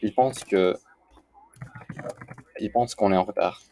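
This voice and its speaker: A man with a fairly low voice talks through exercises offhandedly, muttering his thoughts as he works. The recording has noticeable background noise, with keys and clicks behind him. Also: measured pace, dark tone. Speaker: host of a language-learning series. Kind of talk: casual commentary while doing lessons on screen. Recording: clearly noisy home recording.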